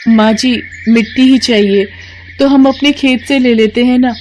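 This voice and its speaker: A woman voicing an earnest, resourceful young village daughter-in-law, eager and respectful, in an animated story. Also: on the high side, measured pace, pitched above the performer's own voice, close to an everyday voice. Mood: anxious. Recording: noticeable background noise.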